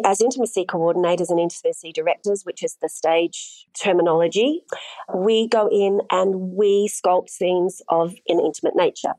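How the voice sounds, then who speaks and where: A woman's voice: mid-range voice, a little mellow, no echo, poor audio. A woman in conversation, explaining her job thoughtfully and methodically. Her voice sits mid-range and is recorded close and dry, with no room around it. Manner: measured, explanatory, asks herself rhetorical questions. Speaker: intimacy coordinator guest. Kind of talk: conversational interview answers.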